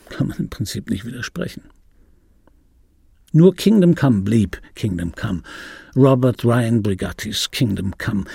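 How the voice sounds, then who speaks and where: An actor playing an embittered, wistful dubbing actor in his fifties, delivering a dry, brooding radio play monologue in a husky voice. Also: mid-range voice, dark tone, dry recording, heavily acted. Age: 50s. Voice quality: husky, gravelly.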